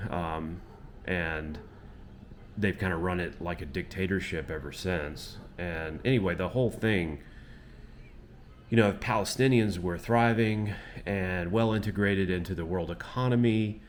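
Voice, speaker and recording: A man with a very low voice talks casually to a handheld camera on a street at dusk. He rambles, filling his sentences with "you know" and "um". Faint outdoor noise sits under his voice. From Florida. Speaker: travel vlogger. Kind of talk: casual reflective monologue to camera.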